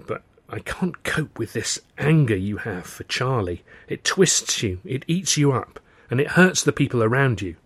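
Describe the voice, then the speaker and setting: A man's voice: mid-range voice, natural voice. A man voicing a loving but weary and firm young woman in audiobook dialogue, speaking earnestly and pointedly.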